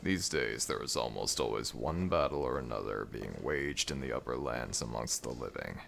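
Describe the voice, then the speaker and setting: A man's voice: a bass voice, expressive, moderate pace, gravelly, fairly bright tone, slight background noise, voice pushed down, exaggerated voice. A man voicing an ancient, weary and grumbling jackal god of the dead in a scripted audio roleplay, with a dry, imperious manner. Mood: weary, musing.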